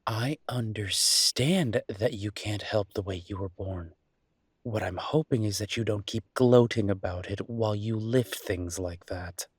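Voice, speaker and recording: Man playing affectionate chef boyfriend, low husky voice, teasing conversational banter, intimate audio roleplay, close dry sound. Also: a little slow, no echo. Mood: exasperated, teasing. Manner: conversational, playful, exasperated banter. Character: easygoing, teasing human chef boyfriend, gadget-loving and affectionate.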